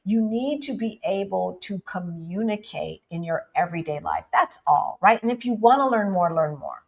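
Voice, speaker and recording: American woman, livestream co-host, casual animated talk, laughs a lot, mid pitch. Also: normal speed, creaky, slightly rough, highs cut off. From United States. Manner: animated, laughing, addresses audience as y'all.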